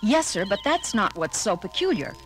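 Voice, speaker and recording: An actress playing a poised female communications officer who reports in a crisp, dutiful way in a 1970s audio drama. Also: medium pitch, sing-song, normal speed, over music, natural voice. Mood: puzzled.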